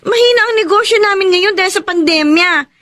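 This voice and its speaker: Outspoken younger sister, high-pitched. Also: female voice, brisk. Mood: exasperated, demanding. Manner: blunt, chiding, expressive. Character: outspoken, protective younger sister of a village family. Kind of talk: radio drama acting.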